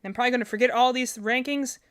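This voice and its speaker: A woman's voice: a little high, steady tone, rapid-fire, fairly bright tone, clean tone. A woman hosting a livestream in a casual, rambling way, thinking aloud and often correcting herself as she goes. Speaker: livestream host.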